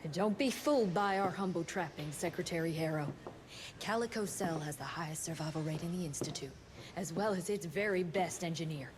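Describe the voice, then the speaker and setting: An actress playing a composed female doctor who leads an agency cell, speaking in a calm, measured, formal way. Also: fairly low pitch, background noise, natural voice. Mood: assured. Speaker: cutscene character.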